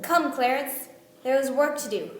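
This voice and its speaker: Proud embattled boss man, acted by a woman, high pitch, earnest, dramatic, radio play, echoey room. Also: moderate pace, close to an everyday voice. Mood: grim resolve. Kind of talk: stage-style radio play acting. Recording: echoey room, faint music behind.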